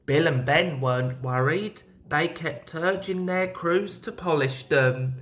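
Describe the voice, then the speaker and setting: A woman narrating a scripted children's story as an expressive read-aloud. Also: low-pitched, takes it slowly. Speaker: storybook reader.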